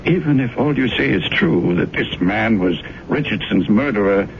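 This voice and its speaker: Smooth pious advisor, solemn, measured, swooping intonation, radio drama, muffled noisy recording. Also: male voice, on the low side, animated. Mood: dismissive. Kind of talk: 1970s radio drama acting. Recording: dull, noisy old radio broadcast.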